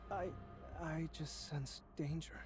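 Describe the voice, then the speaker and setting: Young rookie hunter, anime game; halting, perceptive, higher male register, clear music bed. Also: steady tone. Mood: hesitant, tense. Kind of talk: English anime game voice acting.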